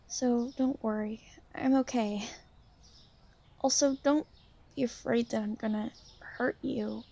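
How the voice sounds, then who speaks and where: Shy, insecure young female vampire, played by a woman, for ASMR roleplay; mellow, creaky voice, halting and apologetic. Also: on the high side. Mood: reassuring, apologetic. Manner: hesitant, halting, soft-spoken, apologetic. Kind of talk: ASMR roleplay, intimate one-sided conversation.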